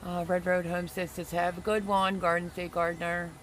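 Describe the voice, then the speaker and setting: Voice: medium pitch, flat delivery, fairly quick, a bit bright, slight background noise, low-quality recording. A gardening livestream host chatting casually and teasing viewers while reading their comments aloud.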